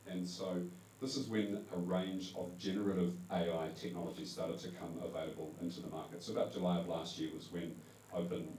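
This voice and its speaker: Deep-voiced New Zealand man in an echoey hall. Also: dark tone. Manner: conversational, self-correcting with fillers. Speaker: conference panel speaker. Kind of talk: presentation talk to a live audience. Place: event hall. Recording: echoey hall, distant mic, faint hum.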